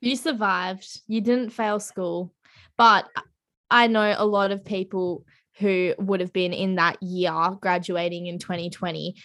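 A woman's voice: mid-range voice, fairly even intonation, measured pace, a bit bright. A young adult woman co-hosting a podcast, teasing and bubbly, using lots of "like". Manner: bubbly, teasing, heavy "like" fillers. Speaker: podcast co-host. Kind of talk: casual chat.

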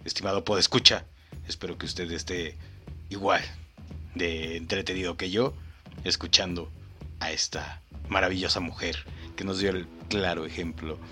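A man hosting a podcast with a low voice and a bright, forceful edge. He comments on clips in a mock-solemn, playful tone and speaks straight to the listener, with faint music underneath. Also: steady tone, moderate pace. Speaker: podcast host.

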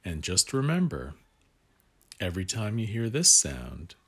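Deep, unhurried man reading a picture book. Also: wildly swooping pitch, loud music behind the voice. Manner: gentle guiding asides to the child listener. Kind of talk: read-aloud storytelling for young children.

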